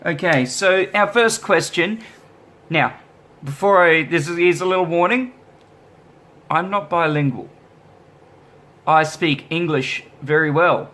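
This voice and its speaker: A man's voice: on the high side, measured pace, long pauses, bright, forceful tone. A man vlogging casually as a musician, easygoing and self-deprecating as he rambles through viewer questions. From Australia. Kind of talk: casual vlog chat answering viewer questions.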